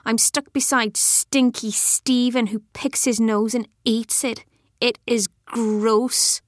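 Little schoolgirl, frank and childlike, high voice, audiobook letters. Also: fairly even intonation, slow pace, dry recording, studio quality. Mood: disgusted. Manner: childlike, plain short sentences. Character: outspoken little schoolgirl writing frank notes to friend.

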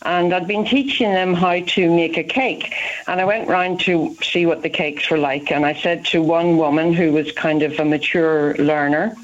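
A woman with an Irish accent, an education researcher, speaking in a radio interview and telling stories warmly. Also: fairly low pitch, steady tone, normal speed. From Ireland. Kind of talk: phone interview. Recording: dull lo-fi line.

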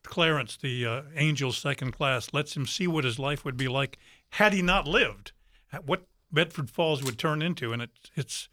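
A man's voice: medium pitch, moderate pace, bright, forceful tone, no room sound. A man talking earnestly and conversationally as a radio interview guest, repeating words for emphasis. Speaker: interview guest, community theater player. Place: radio studio.

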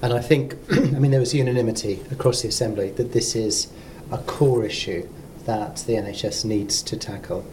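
Professor, man, warm mid voice, orderly point-by-point delivery, faint low rumble. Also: moderate pace. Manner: organised, counts points off in order. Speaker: professor and medical college president. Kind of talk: panel discussion.

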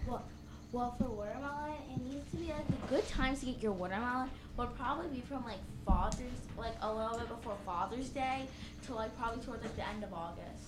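A woman's voice: on the high side, fairly quick, noisy background. A girl camper from Long Island chatting casually and giving firm opinions. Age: child. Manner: opinionated, emphatic. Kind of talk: casual chat.

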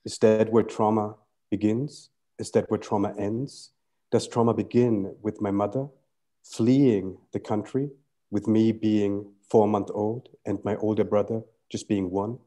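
A man in his thirties from Sri Lanka, whose first language is Tamil, speaks in a low register with a warm, mellow tone. He talks slowly and deliberately, building his thoughts through poetic images and a string of rhetorical questions. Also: level tone. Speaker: poet guest. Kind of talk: reflective literary conversation.

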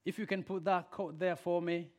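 A man preaching a sermon and leading a prayer, a native Swahili speaker who swings from loud emphatic peaks to soft quiet lines. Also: high voice, flat delivery, moderate pace.

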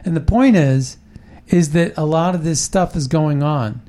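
A man's voice: fairly high pitch, a little slow, dark tone. A man hosting a casual political talk show, rambling and emphatic, often restarting his sentences.

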